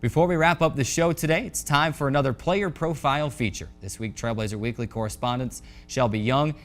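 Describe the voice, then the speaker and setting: A man's voice: mid-range voice, a little fast, faint music behind. A man hosting a college sports show, delivering a scripted sportscast in an announcer's style.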